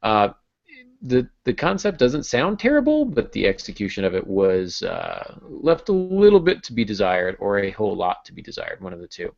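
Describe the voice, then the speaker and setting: A man's voice: on the low side, wildly swooping pitch, normal speed, vocal fry. An American man in his thirties chatting casually as a podcast host, with a jokey, self-deprecating manner. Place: small home room on a video call. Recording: dull, compressed video call audio.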